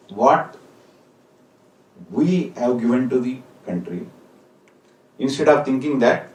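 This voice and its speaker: A man's voice: mid-range voice, darkish tone. Indian man speaking formally in an echoey hall. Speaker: senior company official giving a speech. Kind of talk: formal ceremonial speech. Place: indoor function hall with PA. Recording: echoey hall, distant microphone.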